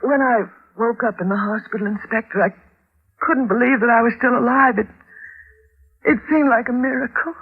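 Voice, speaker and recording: An actress playing a frightened wife in a 1950s radio horror drama, dramatic, breathless and halting. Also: female voice, fairly high pitch, slightly rough. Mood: shaken, uneasy. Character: terrified wife who fears her husband is a vampire. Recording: muffled old radio recording.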